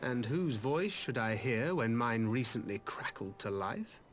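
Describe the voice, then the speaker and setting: A man acting an articulate, wry gentleman in a fantasy video game, speaking formally with a British accent. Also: medium pitch, sing-song, unhurried, a little hiss or hum. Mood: explanatory, puzzled. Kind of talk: dramatic video game dialogue.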